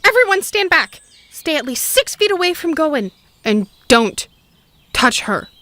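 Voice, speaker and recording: A woman's voice: high voice, sing-song, a little slow, bright, forceful tone, no room sound, full character voice. A woman playing a bossy, exasperated, haughty queen in a comic stage-style audio drama. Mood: commanding, alarmed. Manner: commanding, alarmist, haughty.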